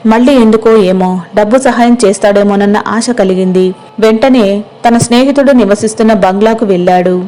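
A woman's voice: mid-range voice, brisk, mellow. A woman narrator reading a scripted moral story.

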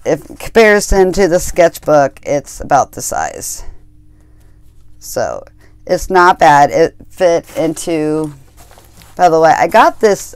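A woman's voice: deep voice, smooth, no echo. A woman hosting an art channel, casually reviewing her hiking painting supplies in a rambling way, correcting herself often with many ums. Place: indoor craft table.

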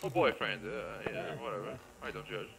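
A man with a heavily creaky, gravelly voice commentates a video game. His pitch swings in a very animated way as he rambles playfully and thinks aloud. The recording is clearly noisy, with game ambience behind him. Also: moderate pace. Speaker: gameplay video creator. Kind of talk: casual let's play commentary.

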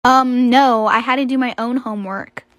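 A woman's voice: on the high side, steady tone, normal speed, a bit bright, no room sound, studio quality, natural voice. A woman voicing a put-upon but hopeful teenage girl, earnest and given to complaining. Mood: sulky.

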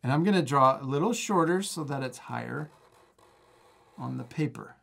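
A man's voice: medium pitch, animated, moderate pace, gravelly, fairly bright tone, breathy edge. A man in his thirties giving a casual step-by-step drawing lesson for children, encouraging and often chuckling. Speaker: drawing instructor and host. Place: indoor studio, at a table.

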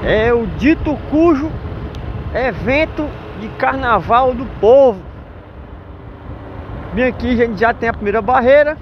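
A man's voice: very high voice, expressive, measured pace, pauses often. A man from northeastern Brazil, chatting upbeat and jokingly as he vlogs from a motorcycle on city streets. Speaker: motovlogger. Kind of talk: casual vlog narration while riding. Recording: dull lo-fi with faint street noise.

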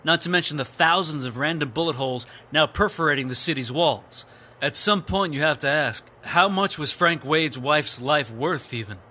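A man doing an excitable police chief, husky, bright, crude. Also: fairly high pitch, normal speed. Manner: enthusiastic, matter-of-fact. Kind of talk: sketch comedy radio play.

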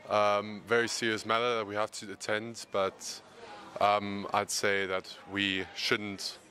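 Young man, from Germany, low voice, bright tense tone, thoughtful. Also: flat delivery, slow pace. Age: 20s. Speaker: student interviewee. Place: indoor university hallway. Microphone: handheld interviewer mic.

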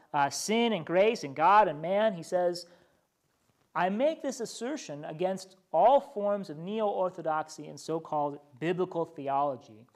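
An American man teaching a small church study group, moving between reading aloud and informal explanation in a conversational way. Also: high voice.

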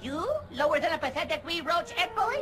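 A man's voice: very high voice, animated, a little fast, loud music behind the voice. A man voicing a proud cartoon boy with a thick comic foreign accent, pompous and declamatory in a video game. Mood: pompous, challenging. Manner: pompous, declamatory, quaint phrasing. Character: proud, boastful foreign immigrant farm boy.

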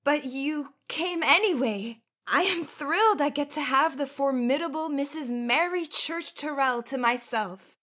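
A woman's voice: sits high, unhurried, pushed and bright. An actress playing an earnest, proud young college woman of the 1910s with formal, careful elocution in a radio drama. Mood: gracious. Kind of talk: period radio drama acting.

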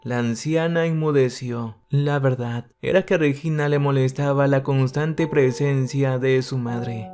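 A man narrates a dramatic family tale in a calm, level reading voice. His pitch sits right in the middle for a man. Faint guitar music runs quietly beneath his words. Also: a little slow, few pauses, clean tone. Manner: even, steady reading that speaks every character's lines in the same voice. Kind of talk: scripted storytelling narration. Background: soft guitar music.

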